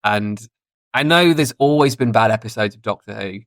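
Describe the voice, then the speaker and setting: A man's voice: lowish voice, moderate pace, fairly bright tone, dry recording. A man in his thirties chatting casually and giving his opinions as a podcast guest. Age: 30s.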